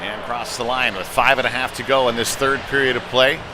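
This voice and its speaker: A man's voice: mid-range voice, steady tone, fairly quick. A man calling a youth-league style hockey game live, as a play-by-play commentator following the puck. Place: indoor ice rink during a game. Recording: noisy arena, clatter and hum behind.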